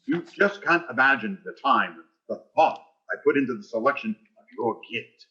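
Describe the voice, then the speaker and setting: Actor as pompous male principal, blustering radio comedy delivery, forceful, slightly muffled recording. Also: medium pitch, lively intonation, normal speed. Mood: hasty, unctuous. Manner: pompous, theatrical, exclamatory. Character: blustering, pompous, tight-fisted male high school principal. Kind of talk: 1940s radio sitcom acting on stage.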